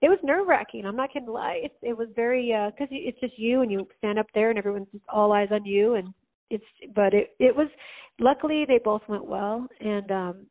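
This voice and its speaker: Female singer, guest, low voice, chatty with fillers and laughs; phone-quality audio. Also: a little fast. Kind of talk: casual interview chat. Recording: phone-like narrow sound.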